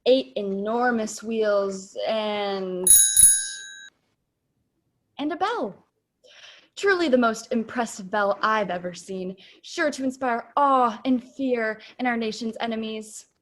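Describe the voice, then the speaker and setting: Young woman as on-scene radio reporter, highish voice, formal, dramatic announcing, comic radio play. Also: takes it slowly. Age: late teens. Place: home room, on a video call.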